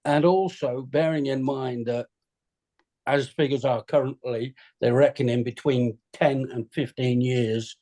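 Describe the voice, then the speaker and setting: A man on a script reading panel, talking in an emphatic, measured way. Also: mid-range voice, warm tone. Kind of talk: casual panel discussion.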